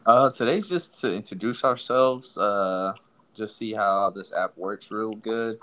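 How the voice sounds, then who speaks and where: A man's voice: on the low side, a little slow, a bit bright. A young man from Somalia hosting a casual podcast, loose and joking with his co-host.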